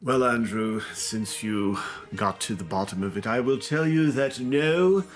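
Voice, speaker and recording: An older man from New York City with a New York accent, co-hosting a casual question-and-answer chat with dry, deadpan teasing. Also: medium pitch, a little slow. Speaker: co-host, father of the other host. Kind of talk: casual Q&A banter.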